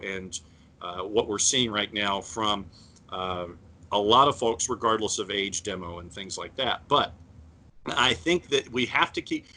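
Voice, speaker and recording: Bright-voiced man talking sports. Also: on the low side. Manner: measured, many fillers and restarts. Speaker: podcast co-host. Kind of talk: casual sports talk.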